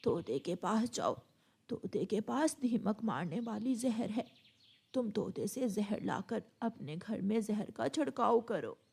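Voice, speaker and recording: A woman voicing a kindly old mother dove who gives advice in a Hindi cartoon, with a husky voice. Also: medium pitch, expressive, unhurried, darkish tone, full character voice. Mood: concerned, advising. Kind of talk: Hindi storytelling cartoon dialogue.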